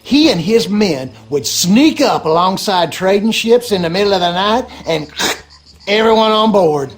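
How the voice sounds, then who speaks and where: An actor playing a gossipy, superstitious backwoods husband in a video game, with a rural Louisiana accent and folksy, colloquial talk. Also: male voice, high voice, animated, measured pace. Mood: friendly, storytelling. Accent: rural Louisiana Southern American. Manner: folksy, drawling, colloquial storyteller. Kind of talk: animated game character dialogue.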